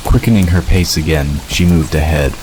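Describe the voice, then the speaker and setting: Really deep male narrator reading a story, noisy hissy background. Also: normal speed, darkish tone. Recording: noisy, rain-like hiss behind.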